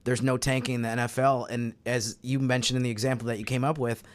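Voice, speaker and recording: A man on a sports podcast panel, explaining his views in a measured, casual analytical way. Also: mid-range voice, little pitch movement.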